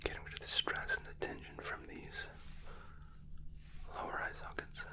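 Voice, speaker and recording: A man performing a whispered ASMR spa roleplay as a therapist, gentle, soothing and softly reassuring. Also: normal speed.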